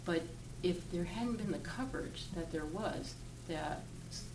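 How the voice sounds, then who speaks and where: An older woman with a Virginia Southern accent talks in a low, unhurried voice, often pausing with "uh" and laughing as she remembers. The mic sits far off in an echoey room. Steady background noise runs underneath. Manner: reflective, frequent "uh" pauses, laughs easily. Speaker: interviewee. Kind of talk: oral history interview. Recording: roomy, distant mic, noisy background.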